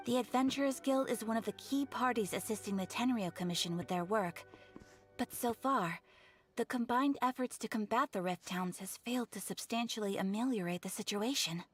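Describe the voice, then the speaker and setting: A woman's voice: fairly high pitch, normal speed, soft background music, lightly acted. A woman playing an earnest, courteous official who gives formal briefings in a video game. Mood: earnest, concerned. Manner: polite, formal.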